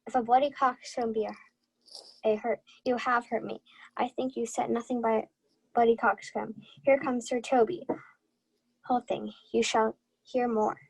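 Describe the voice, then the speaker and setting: High, plaintive young woman as a cowardly knight. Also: steady tone, a little slow, creaky, breathy edge, exaggerated voice, low-quality recording. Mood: aggrieved. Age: young adult. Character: whining, cowardly injured knight, a foolish man. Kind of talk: 1940s radio play comic acting.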